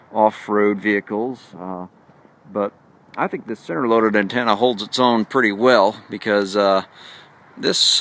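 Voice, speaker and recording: A man giving a casual hands-on walkthrough as a vlogger, speaking haltingly and often pausing mid-sentence. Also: lowish voice, a bit bright. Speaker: vlogger showing a radio install.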